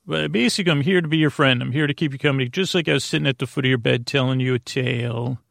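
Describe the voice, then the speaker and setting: A man hosting a sleep podcast, delivering a rambling bedtime monologue in a halting, self-interrupting way that drifts into tangents. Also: medium pitch, vocal fry, fairly bright tone, dry recording, studio quality. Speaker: podcast host.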